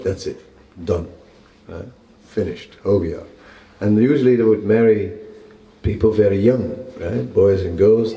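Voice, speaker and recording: A man's voice: fairly low pitch, warm tone. Older man teaching in an echoey temple room. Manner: conversational and wry, chuckles at his own jokes. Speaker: monk lecturer. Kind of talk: relaxed scripture class. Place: small temple room with seated listeners. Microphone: stand mic close in front. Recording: roomy echo of a small temple room.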